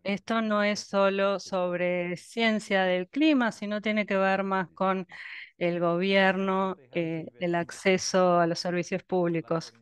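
Woman, live interpreter, unhurried, clear resonant voice, pauses and fillers. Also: mid-range voice, steady tone, dry recording. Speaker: simultaneous interpreter. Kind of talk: live interpretation of a webinar talk.

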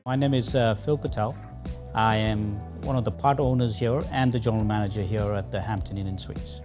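A man's voice: fairly low pitch, steady tone, fairly quick. A man speaking as a manager in an unscripted business testimonial. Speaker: hotel manager giving a testimonial. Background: music.

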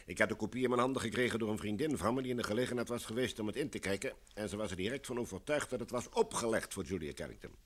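An actor playing a brash, jovial film director in a Dutch radio play, talking bluntly and informally. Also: male voice, on the low side, animated, rapid-fire, hardly any pauses. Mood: chatty, blunt.

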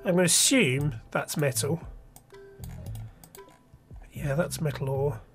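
A man's voice: a little high, slow pace, soft background music. Stuffy-nosed man narrating his gameplay. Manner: thinking aloud, rambling with restarts. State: stuffy nose from a cold. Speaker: let's play host. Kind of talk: casual gameplay commentary.

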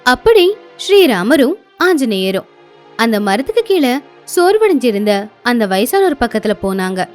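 High bouncy lady narrating a kids' cartoon story, music under her. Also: measured pace. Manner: expressive storyteller.

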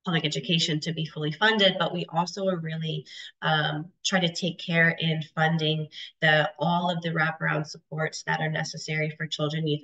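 A woman in her thirties presenting charts on a video call, stuffy from a cold, with halting speech full of ums and restarts. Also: low-pitched, fairly bright tone. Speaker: webinar presenter. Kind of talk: explaining data charts in a webinar. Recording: dull lo-fi video call audio.

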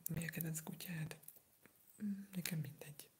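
An eighteen-year-old from Hungary whispering softly through an ASMR livestream, answering viewer comments while sick with a sore throat, rambling and hesitant. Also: medium pitch, steady tone. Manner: soft unvoiced whisper, rambling, hesitant with fillers.

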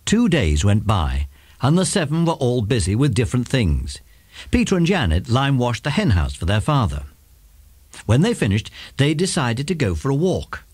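Male narrator, children's audiobook: highly varied intonation, slightly grainy, close dry recording. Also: huge pitch swings, normal speed, a little mellow, husky, no echo. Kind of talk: scripted children's story narration.

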